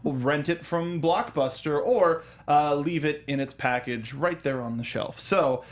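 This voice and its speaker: A man's voice: a little high, measured pace. A man hosting a film review show in casual discussion, often restarting and repeating his phrases.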